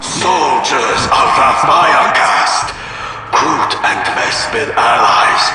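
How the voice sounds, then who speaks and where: A man's voice: mid-range voice, animated. A man voicing a noble, solemn alien Tau leader giving a grave rallying speech to troops in a video game cutscene. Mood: stirring, resolute. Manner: slow, grave oratory. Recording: echoing, distant, music behind.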